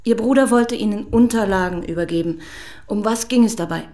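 A woman's voice: medium pitch, brisk, mellow, close to an everyday voice. Curt female police detective played by a woman. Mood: stern, formal. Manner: curt, businesslike. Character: brisk, authoritative female chief police detective. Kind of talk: radio drama acting.

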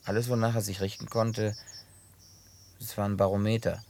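An actor dubbing a man who tells a long story in a laid-back way with gruff humor. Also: fairly low pitch, little pitch movement, moderate pace, darkish tone, slight background noise. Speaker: lead character in a dubbed film. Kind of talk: dubbed film acting.

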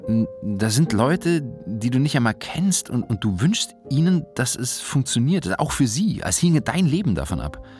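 A man's voice: medium pitch, very animated, moderate pace, hardly any pauses, a little mellow, faint music behind, natural voice. A man playing an earnest, frustrated writer and father in recovery, speaking hesitantly and conversationally in a naturalistic radio drama. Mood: earnest, moved.

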